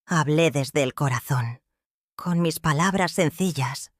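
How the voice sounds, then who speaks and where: An older woman with a Mexican accent narrating her own life story in a slow, heartfelt, reflective way. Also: sits low, dry recording, studio quality. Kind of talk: first-person storytelling narration.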